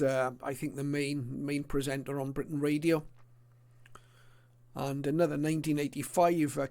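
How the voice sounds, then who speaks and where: A middle-aged or older man presenting a casual illustrated hobby talk in a hesitant, unscripted way with frequent pauses. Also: fairly high pitch, darkish tone.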